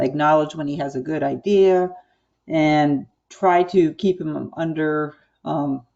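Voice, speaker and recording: An American woman speaks in an online meeting with a measured, explanatory manner. Her pitch moves little and she pauses as she goes. The call audio is slightly muffled and lo-fi. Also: warm tone. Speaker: school board chair.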